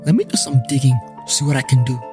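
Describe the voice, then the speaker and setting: A man acting a wisecracking island ex-cop turned paranormal investigator, with a medium-pitched voice. He narrates his audio drama in dry, slangy, self-mocking noir-comedy style, with soft guitar behind him. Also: quick pace, lightly acted. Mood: casual, skeptical. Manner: wry, colloquial, self-mocking. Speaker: narrator and lead character. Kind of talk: scripted first-person noir-comedy narration. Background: guitar music.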